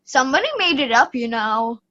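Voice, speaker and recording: Woman playing a high-voiced, unbothered little storybook oddball boy. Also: animated, a little fast, pushed and bright, dull audio. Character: odd, unbothered storybook boy who eats dirt. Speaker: student radio play actor. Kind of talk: children's radio play acting.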